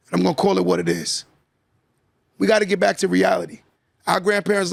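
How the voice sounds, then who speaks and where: A male podcast host with a very gravelly voice who talks fast and presses his points hard. He hammers home each line by repeating it, and the sound is close and dry. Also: sits high, no echo. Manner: emphatic, lecturing, repeats key lines. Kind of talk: casual podcast talk, motivational monologue.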